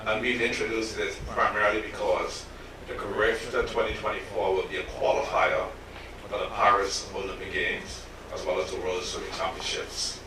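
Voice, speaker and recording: Deep-voiced male official, echoey. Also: level tone, normal speed. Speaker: sports official. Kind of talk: press conference announcement. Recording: echoey room, distant mic, background noise.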